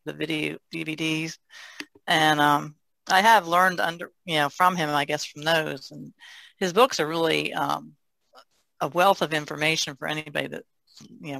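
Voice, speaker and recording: Creaky, bright-voiced woman on a podcast. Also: sits low, no echo, poor audio. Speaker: podcast co-host. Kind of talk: casual podcast conversation.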